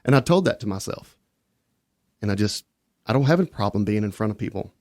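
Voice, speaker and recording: A man's voice: on the low side, animated, a little fast, darkish tone, no room sound, studio quality. A man guest on a casual podcast, telling long stories with many restarts. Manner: rambling, self-interrupting storyteller.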